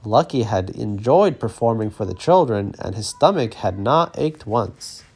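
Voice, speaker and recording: A man narrating a children's story as an expressive read-aloud. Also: on the low side, measured pace, breathy edge.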